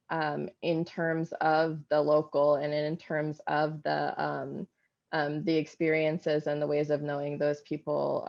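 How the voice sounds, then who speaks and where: A woman on a panel speaks with a very low, gravelly voice, picking her words slowly and filling gaps with soft hesitations. The sound comes through a video call and is dull and lo-fi. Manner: thoughtful, halting, many fillers. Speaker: panelist, philosopher. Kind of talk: academic panel answer.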